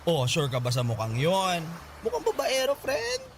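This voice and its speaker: A man's voice: on the high side, wildly swooping pitch, normal speed, noisy background. A man playing a gossipy, teasing, campy friend in a radio drama, exclaiming in sassy slang. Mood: scandalized. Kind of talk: Tagalog radio drama acting.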